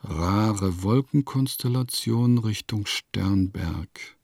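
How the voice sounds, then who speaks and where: A man's voice: fairly low pitch, slow, vocal fry, darkish tone, breathy edge, no echo, clean studio sound, exaggerated voice. An actor playing an eccentric composer who muses in clipped notes and declaims his verses in a radio play. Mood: musing, matter-of-fact.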